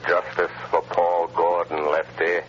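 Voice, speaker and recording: An actor playing a mysterious male avenger in a 1930s radio drama who taunts a crook with slow, deliberate menace. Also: low-pitched, monotone. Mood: menacing. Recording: dull, faintly hissy 1930s radio transcription.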